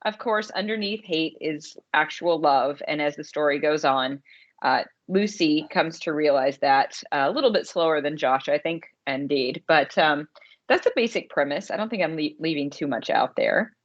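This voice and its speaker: A woman's voice: sits low, expressive, moderate pace, muffled recording. A woman co-hosting a podcast about romance writing, chatting casually as an author.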